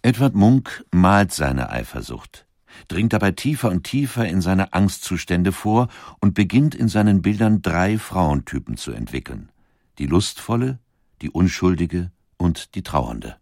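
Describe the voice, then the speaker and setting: A man reading scripted documentary narration in a measured, articulate manner. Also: low-pitched, lively intonation, darkish tone, no room sound, studio quality.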